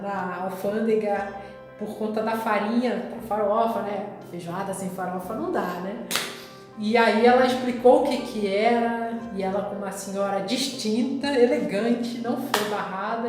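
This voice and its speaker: In a very reverberant recording with music behind, a woman speaks in a Rio de Janeiro Brazilian accent. She takes her time, reflecting casually as a vlogger and often pausing mid-thought. Her pitch sits in an average range for a woman. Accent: Rio de Janeiro Brazilian Portuguese. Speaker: vlogger recounting travel memories. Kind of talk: casual personal storytelling. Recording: very echoey, reverberant room with music.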